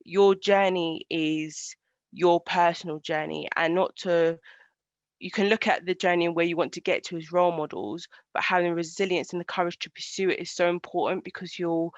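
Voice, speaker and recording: Woman presenting in a flat, dull-sounding recording. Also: lowish voice, no room sound. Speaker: engineer giving a talk. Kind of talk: online presentation with slides. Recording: dull lo-fi video call audio.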